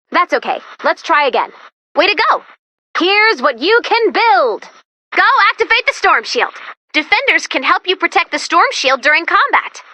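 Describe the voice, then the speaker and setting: A woman's voice: high voice, bright, forceful tone, no echo, exaggerated voice. A woman voicing a perky, quirky female robot helper in a video game, chirpy and encouraging as she guides the player. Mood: encouraging, upbeat. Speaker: mission guide. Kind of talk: video game tutorial voice lines.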